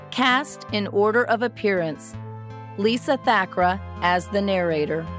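A woman reads as a narrator at an unhurried, slow pace. Her voice sits at a middle pitch, and her delivery is scripted, measured and reverent, as for a Bible story. Faint music plays behind her.

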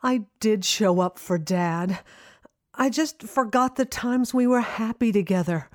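An actress playing a wry, reflective adult daughter in an audio drama, confiding her story with pauses and sighs. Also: medium pitch, darkish tone, natural voice.

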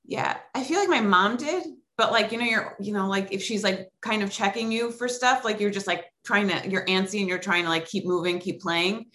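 A woman's voice: mid-range voice, fairly quick, few pauses, pushed and bright. A middle-aged woman from Long Island, chatting as a podcast guest over a remote call, with lots of likes and ums. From Long Island, New York. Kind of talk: casual interview chat.

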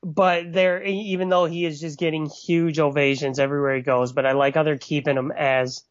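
A man's voice: a little high, level tone, brisk, no echo. Brash, teasing podcast guy. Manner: brash, teasing, laughs a lot. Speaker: podcast co-host. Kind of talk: casual wrestling review chat. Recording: dull, dry recording.

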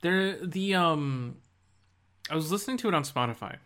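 A man's voice: on the high side, lively intonation, normal speed, a bit bright. A man co-hosting a podcast, talking casually and informally about video games. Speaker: podcast co-host.

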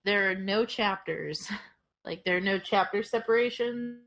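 A woman's voice: medium pitch, a little slow, fairly bright tone. A woman with a Northern US accent co-hosting a podcast, joking and wry in casual chat, often giggling. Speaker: podcast co-host.

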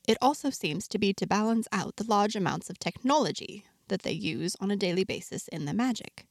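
A woman playing an earnest, wonder-struck outsider in a space fantasy, narrating her diary expressively with deliberate, dramatic pauses. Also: medium pitch, vocal fry, no room sound, clean studio sound. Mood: warm confiding. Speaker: narrator of a fiction podcast, in character. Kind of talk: scripted first-person storytelling, audio diary.

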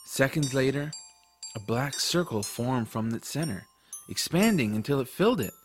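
A man's voice: medium pitch, expressive, moderate pace, faint music behind, close to an everyday voice. A man as an adventurous young boy storyteller. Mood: reflective narrating. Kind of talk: audiobook first-person narration and acted dialogue.

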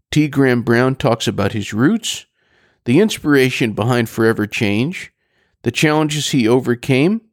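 A male interview host speaks in a dry, close sound with no room around him. His mid-pitched voice moves up and down in a lively way as he introduces and questions his guest. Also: no echo.

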